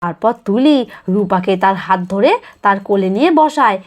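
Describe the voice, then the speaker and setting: A woman's voice: medium pitch, lively intonation, moderate pace. A woman narrator reading scripted narration for a children's story.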